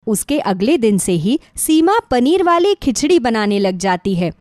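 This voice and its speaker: High-voiced woman narrating a children's story. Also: very animated, a little slow, darkish tone, no echo, clean studio sound.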